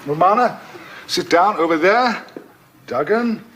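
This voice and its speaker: Cheeky eccentric time traveller from old tv sci-fi, pitch bouncing all over, kinda playful and flippant. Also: male voice, animated, a little slow, noisy background. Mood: breezy, cheeky. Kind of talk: 1970s television drama acting.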